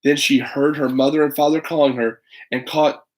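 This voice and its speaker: A man reading a children's picture book aloud in an animated, dramatic way, with chatty asides to a young listener. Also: on the high side, moderate pace, poor audio. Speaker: storybook reader.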